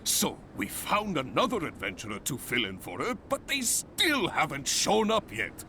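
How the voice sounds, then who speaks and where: A courteous, businesslike male guild official. His bright voice swings widely in pitch, with faint background noise. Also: huge pitch swings, moderate pace, full character voice. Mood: explaining. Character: polite adventurers guild official man.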